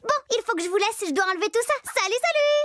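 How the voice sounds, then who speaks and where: Over loud music, a very high, girlish cartoon storyteller. She is playful and chatty, talking straight to the young viewer with expressive delivery. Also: racing. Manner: expressive, chatty, addresses the listener directly. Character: playful chatty little girl telling stories to viewers. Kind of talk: animated children's storytelling.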